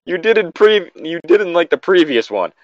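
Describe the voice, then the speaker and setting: A man's voice: fairly high pitch, sing-song, normal speed, dry recording. A man reading a story aloud and bantering casually about it as he goes. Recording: dull lo-fi microphone.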